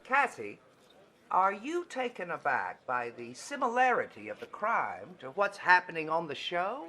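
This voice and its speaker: Probing press hound voiced by a woman, bright forceful tone, hugely animated, 1950s radio play. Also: on the low side, unhurried, exaggerated voice. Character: probing press reporter at a Hollywood conference. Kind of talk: old Hollywood radio play acting.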